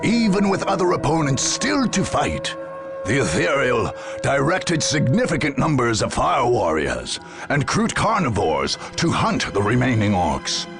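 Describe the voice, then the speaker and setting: Solemn, gravel-edged war chronicler. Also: male voice, mid-range voice, wildly swooping pitch, full character voice. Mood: grave, proud. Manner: solemn, measured, theatrical. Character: grave male chronicler narrating a war history. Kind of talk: scripted dramatic game narration. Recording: music behind the narration. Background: music.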